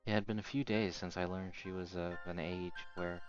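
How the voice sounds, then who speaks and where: A man's voice: deep voice, steady tone, normal speed. A man reading visual novel narration aloud as a first-person story. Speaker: narrator reading subtitles of a game. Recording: dull lo-fi mic. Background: soft game music.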